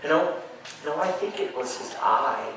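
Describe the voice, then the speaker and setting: A male stage actor playing a nervous, gloating murderer in a theatrical gothic horror monologue, halting and stammering as he insists he is sane. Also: a little high, animated, fairly bright tone. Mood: brooding, obsessed. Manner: halting, stammering, drawn-out phrases with sudden emphasis. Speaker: stage actor performing a dramatic reading. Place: live performance space at an amusement park show. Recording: echoey live show, distant mic, faint noise.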